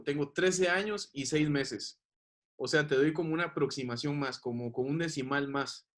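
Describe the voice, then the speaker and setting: A man teaching a class, explaining patiently and checking that the students follow. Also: on the high side. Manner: patient, checks understanding with questions.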